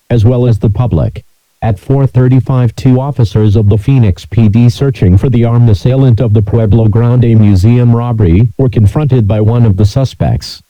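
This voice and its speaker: A man reading a scripted emergency broadcast announcement in a formal, mechanical monotone. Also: mid-range voice, no room sound, poor audio.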